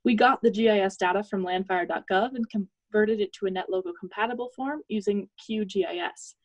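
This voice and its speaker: A woman's voice: mid-range voice, brisk, dry recording, rough recording. Young woman presenting, close dry sound. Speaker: student presenter. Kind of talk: technical project presentation.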